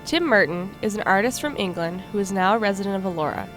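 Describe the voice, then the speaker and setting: A woman reporter reading scripted news narration in an even way. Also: medium pitch, fast, over music.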